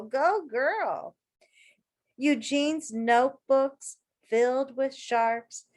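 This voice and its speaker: A woman with a fairly high voice reads a picture book aloud at a very unhurried pace. She is expressive and deliberate, like a primary school teacher. She often steps out of the text to speak encouragingly and directly to her young listeners. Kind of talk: children's story read-aloud with asides to listeners.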